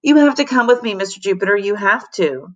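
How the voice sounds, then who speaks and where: A woman's voice: mid-range voice, lively intonation, fairly quick, natural voice. A woman voicing an anxious fourth-grade girl in a children's storybook, whiny, sniffly and dramatic. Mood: frightened pleading.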